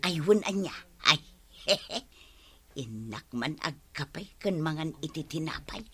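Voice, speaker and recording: A woman's voice: sits low, expressive, slow, fairly bright tone, breathy edge, full character voice. A woman playing a practical, agreeable village wife in an Ilocano radio comedy drama, with an Ilocano Filipino accent. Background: music.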